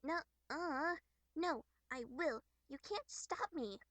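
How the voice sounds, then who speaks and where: A playful young troll girl, put on by a woman for an amateur webcomic voice reel. She is high-pitched, theatrical and teasing, with a defiant streak. The sound is dry. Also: expressive, takes it slowly, fairly bright tone, dry recording, heavily acted. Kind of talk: amateur character voice acting from a webcomic.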